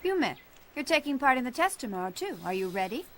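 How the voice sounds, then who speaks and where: Taunting teen ninja rival. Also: female voice, sits high, sing-song, fairly quick, fairly bright tone, slight background noise. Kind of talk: English anime dub acting.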